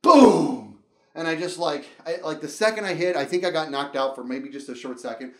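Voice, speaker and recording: A middle-aged man telling a casual, rambling comedic story in an animated way, acting out dialogue and reactions as he goes. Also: fairly high pitch, normal speed, reverberant. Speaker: storyteller and show host.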